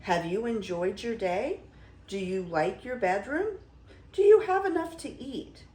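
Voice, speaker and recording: An older woman acts out a huge hairy beast from a fairy tale, emphatic and blustering but kind underneath. The voice leaps about in pitch and echoes in the room. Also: animated, measured pace, a little mellow, natural voice. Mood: eager, welcoming. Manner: bellowing, emphatic.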